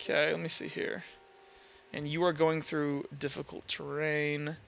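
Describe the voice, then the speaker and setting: A man with a high, bright voice acts as game master at a casual tabletop session. He explains and instructs as he referees. The recording is slightly dull and muffled. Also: little pitch movement, a little slow, gravelly.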